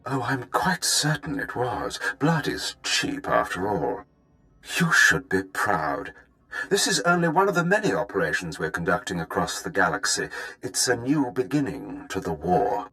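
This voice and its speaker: A man's voice: on the low side, wildly swooping pitch, normal speed, sharp tone, faint music behind, exaggerated voice. A man playing a smug, cold-blooded imperial commander in a science fiction game, pompous and silky in manner.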